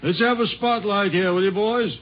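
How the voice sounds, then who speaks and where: An actor playing a practical, matter-of-fact police chief in an old radio drama, speaking in plain, clipped phrases. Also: male voice, sits high, moderate pace, close to an everyday voice. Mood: brisk. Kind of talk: 1940s-50s radio drama acting. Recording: dull old radio recording, faint hiss.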